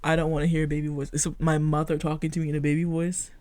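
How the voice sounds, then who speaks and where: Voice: medium pitch, flat delivery, fast talker, warm tone, no room sound. A young co-host in casual podcast chat, reading out questions and giving short teasing replies.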